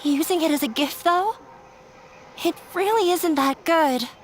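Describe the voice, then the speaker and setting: A nervous young woman from a video game. She speaks slowly in a high, hesitant voice, doubting herself, with faint music behind. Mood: unsure. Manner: hesitant, halting. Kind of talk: game voice acting.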